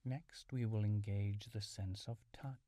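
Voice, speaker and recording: A man leading a calm guided mindfulness meditation in a soothing, measured, instructional way, with soft breathy phrase endings. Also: lowish voice, no echo, studio quality. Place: small furnished room at home.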